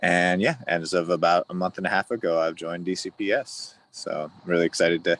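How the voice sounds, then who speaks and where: A man's voice: low-pitched, fairly even intonation, fairly quick, fairly bright tone, slight background noise. A man from El Salvador who works in family engagement for a school district, explaining his role earnestly on a video call. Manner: friendly, earnest, thinks aloud. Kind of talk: introducing himself at a virtual community meeting.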